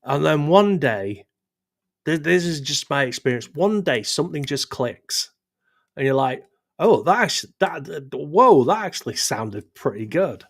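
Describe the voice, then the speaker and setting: A man's voice: fairly high pitch, very animated, a little slow. A man hosting a live stream with a sore throat, chatting loosely with his viewers in a rambling, self-deprecating way and stumbling over his words. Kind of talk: casual chat reading live chat.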